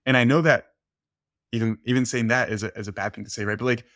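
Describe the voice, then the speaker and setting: A man's voice: medium pitch, fairly even intonation, fairly bright tone, dry recording, clean studio sound. A man whose first language is Spanish, talking casually as a podcast host and often checking in with "right?". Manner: fast, filler-heavy, says "right?" often. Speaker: podcast host, nutrition coach.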